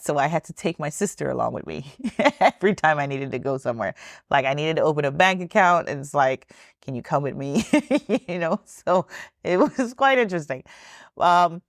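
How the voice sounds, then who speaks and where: A woman's voice: deep voice, no room sound, studio quality. A woman in her thirties from Trinidad, a podcast guest who tells stories in a chatty way and laughs often. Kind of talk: podcast interview storytelling.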